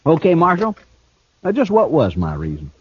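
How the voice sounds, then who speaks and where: An actor playing a cool, smart escaped killer and thief in a 1950s radio drama, talking with a smooth, taunting ease. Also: male voice, on the high side, wildly swooping pitch, moderate pace, full character voice. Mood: smug, taunting. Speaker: outlaw prisoner. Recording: dull old radio broadcast recording.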